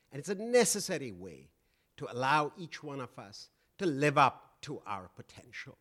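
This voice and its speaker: A man lecturing on economics to an audience, with a loud voice and frequent fillers and restarts. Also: medium pitch. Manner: loud, halting with many fillers. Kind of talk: public lecture.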